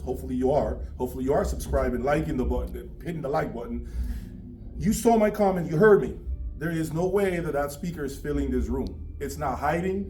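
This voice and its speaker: A man's voice: a little high, a little mellow. Emphatic male interviewer, distant mic. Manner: emphatic, effusive. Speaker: interviewer and host of an audio review channel. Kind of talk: enthusiastic on-location video interview. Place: large demo room at an audio show. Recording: distant mic, faint background noise.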